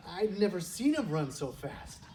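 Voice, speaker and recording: A man's voice: sits high, very animated, a little slow, darkish tone, exaggerated voice. Man acting a repentant prodigal son, distant mic. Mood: amazed. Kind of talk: reader's theater church skit monologue. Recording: distant mic, noisy room.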